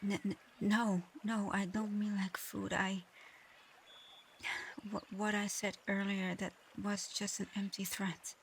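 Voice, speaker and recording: A woman voicing a proud but conflicted dragon girl in human form for an ASMR audio roleplay, soft-spoken, hesitant and earnest. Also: mid-range voice, little pitch movement, normal speed, few pauses, a little hiss or hum, close to an everyday voice. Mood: flustered, shy.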